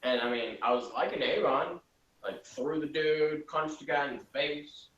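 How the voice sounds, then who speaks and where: A man's voice: on the high side, fairly even intonation, moderate pace, a bit bright, lo-fi sound. A man chatting casually in an echoey room. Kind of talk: casual chat.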